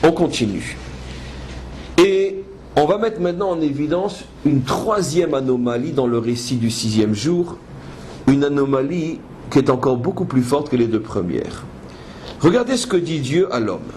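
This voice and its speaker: A man's voice: mid-range voice, animated, measured pace, darkish tone. A man giving a religious lecture as a rabbi, teaching in an emphatic, didactic way with rhetorical questions. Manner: switches between French and quoted Hebrew verses. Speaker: rabbi teaching a Torah class. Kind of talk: religious lecture with commentary on scripture. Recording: noisy live lecture recording.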